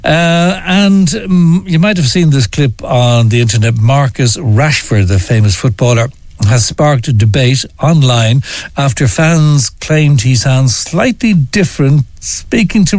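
A man's voice: mid-range voice, expressive, measured pace, darkish tone, no echo. A man with a Dublin Irish accent, presenting a morning radio show in a conversational style. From north side of Dublin, Ireland.

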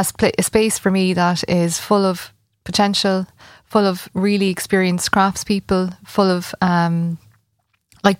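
An Irish woman near fifty speaks as an interview guest, her voice sitting a little low. She talks in a halting, friendly way full of ums and restarts. The sound is close and dry. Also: dry recording. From Ireland. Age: 40s. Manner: hesitant, many fillers and restarts. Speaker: arts festival organiser, guest. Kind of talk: conversational radio interview.

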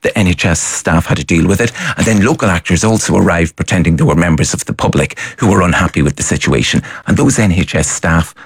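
Male doctor, very low and husky, measured explainer, dry studio sound. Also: dry recording. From Ireland. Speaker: doctor, guest. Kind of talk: explanatory interview answers. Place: radio studio.

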